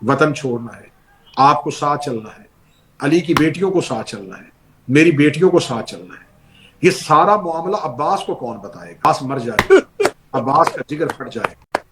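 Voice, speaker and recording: A man's voice: a little high, animated, normal speed, noisy background, natural voice. A man preaching who voices a sorrowful noble leader, weeping and grave.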